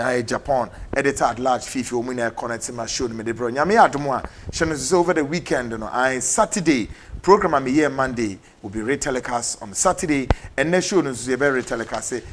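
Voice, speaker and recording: A man with a Ghanaian English accent hosting a TV talk show, mixing English and Twi in brisk announcements. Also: medium pitch, expressive, a bit bright, a little hiss or hum. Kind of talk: TV political talk show, closing with ads. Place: TV studio.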